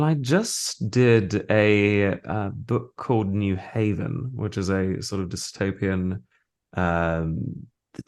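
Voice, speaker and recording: Male guest, very low voice, thoughtful and halting in casual conversation. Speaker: interview guest, voice actor and audiobook narrator. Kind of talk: casual interview chat.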